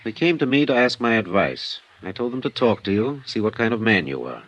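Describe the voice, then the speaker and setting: An American actor playing a sober, principled small-town newspaper editor in a 1950s radio drama, measured and matter-of-fact. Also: male voice, fairly low pitch, big pitch swings, a bit bright, low-quality recording. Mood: sober, guarded.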